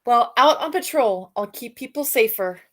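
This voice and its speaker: A woman reading a picture book aloud to children, expressive, with soft musing questions for young listeners. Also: fairly high pitch, moderate pace. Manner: expressive reading, alternating with softer musing asides and hums. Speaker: read-aloud storyteller for children.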